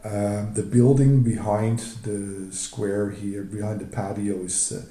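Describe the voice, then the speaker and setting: An older man with a Dutch accent talks through his photos of home on a video call. His voice is low and very dark, and he speaks haltingly, full of fillers. The room around him sounds echoey. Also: flat delivery. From Netherlands. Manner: halting, many fillers and restarts, fond. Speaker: retired emergency physician presenting travel photos. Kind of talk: slide presentation on a video call.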